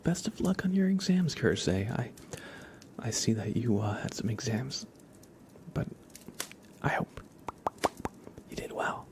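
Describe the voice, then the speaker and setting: A man chatting softly as an ASMR livestream host, in a gentle hushed voice that often drops into whispers. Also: mid-range voice, sing-song, a little slow, pauses often. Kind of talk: soft-spoken ASMR livestream chat.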